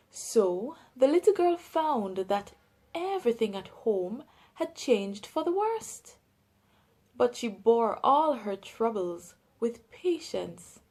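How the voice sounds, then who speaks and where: Jamaican female narrator for children's read-aloud, higher-pitched voice, very unhurried, carefully enunciated. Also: darkish tone. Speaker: storyteller.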